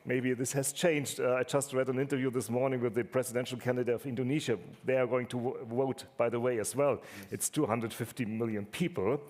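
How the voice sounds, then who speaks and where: A man moderating a conference panel. His voice sits at an ordinary pitch, and he leads into his questions with occasional fillers. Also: fairly even intonation, fairly quick, few pauses, darkish tone.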